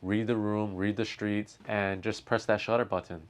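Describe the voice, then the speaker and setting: Low-voiced man giving casual commentary. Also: level tone, normal speed. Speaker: reaction video host, street photographer. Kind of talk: casual reaction commentary with advice.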